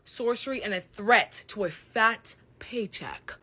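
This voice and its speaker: A woman's voice: medium pitch, lively intonation, a little slow, a bit bright, breathy edge. A woman giving casual pop-culture commentary in an emphatic, exaggerating, sweary manner.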